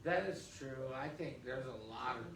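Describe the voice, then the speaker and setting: A man's voice: medium pitch, normal speed, creaky. A man co-hosting a casual bar chat, joking around. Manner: joking, reads listener questions. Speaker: podcast co-host. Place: bar and grill. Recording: echoey bar, far from mic, background noise.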